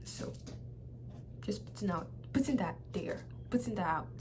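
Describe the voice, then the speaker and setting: A young woman with a Nigerian accent shares a personal testimony in a casual, halting way, often repeating phrases for emphasis. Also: medium pitch, expressive, noisy background. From Nigeria (Lagos). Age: young adult. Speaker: vlogger.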